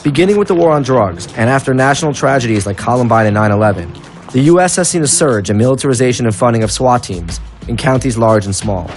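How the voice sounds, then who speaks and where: A man's voice: medium pitch, animated, quick pace. A man working as a documentary reporter, narrating and interviewing on location. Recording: music behind the voice.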